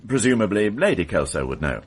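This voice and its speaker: An actor playing a dogged, excitable police detective in a radio mystery drama, reasoning aloud with emphatic insistence. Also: male voice, fairly low pitch, fast. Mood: probing, formal. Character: dogged male police detective, excitable, sure of his theory. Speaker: investigator.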